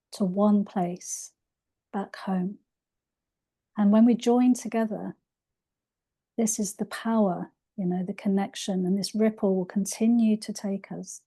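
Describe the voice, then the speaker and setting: A woman reading aloud from her own spiritual book in a soft, unhurried way, her voice a little croaky. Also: mid-range voice. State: slightly croaky voice. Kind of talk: slow gentle book reading.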